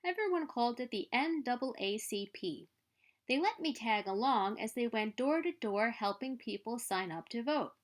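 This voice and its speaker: A woman narrates a children's story aloud. Her voice sits a little high and moves up and down with great animation, as an expressive storyteller's does. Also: normal speed. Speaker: children's story reader at a library. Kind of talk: expressive picture-book read-aloud narration.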